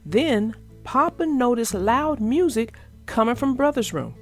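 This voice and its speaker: A woman reading a children's storybook aloud as narrator, in an expressive storytelling manner. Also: fairly high pitch, unhurried, faint music behind. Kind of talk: scripted storybook read-aloud.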